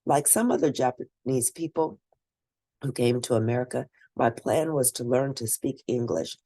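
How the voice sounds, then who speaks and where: A woman reading a scripted first-person story aloud, expressive and deliberate, with a husky, gravelly voice. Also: very deep voice, no room sound, studio quality. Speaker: read-aloud narrator.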